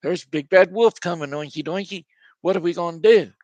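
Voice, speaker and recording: A man voicing frightened little pigs in a storybook, in a high squeaky voice. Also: animated, moderate pace, fairly bright tone, no room sound. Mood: scared.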